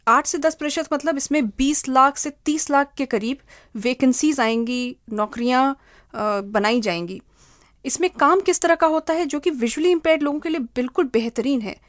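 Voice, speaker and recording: Female interview guest, higher-pitched, explanatory delivery with examples, dry recording. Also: normal speed, no room sound. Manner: explanatory, spells out terms with examples. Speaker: human resource consultant, interview guest.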